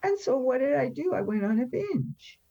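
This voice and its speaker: A woman's voice: sits high, big pitch swings, moderate pace, gravelly, warm tone. A woman in her seventies telling personal stories as an interview guest, conversationally, in a candid, wry and emphatic way. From born in France. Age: 70s. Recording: dull, lo-fi sound.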